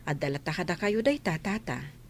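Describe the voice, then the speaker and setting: Reassuring nun with lively intonation. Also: female voice, fairly low pitch, normal speed. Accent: Filipino. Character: calm, reassuring nun, kindly helper. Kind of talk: radio drama acting.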